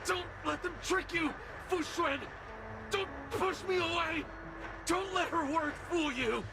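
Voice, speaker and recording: A woman's voice: extremely high pitch, measured pace, noisy background. A woman playing a desperate, theatrical trickster spirit in a video game, obsessed with doomed fate. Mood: urgent, pleading. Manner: theatrical, anguished. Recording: loud music over the voice.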